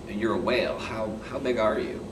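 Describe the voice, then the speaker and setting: A man hosting a puppet show mock interview in a casual, halting, hesitant way. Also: lowish voice. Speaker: talk show host. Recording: roomy, distant mic, loud hum.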